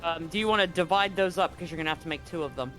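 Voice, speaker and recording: Male game master, higher register, conversational rules talk with dramatic scene narration, faint music underneath. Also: rapid-fire. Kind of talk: running a live tabletop sci-fi roleplaying game, explaining rules and narrating. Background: soft background music.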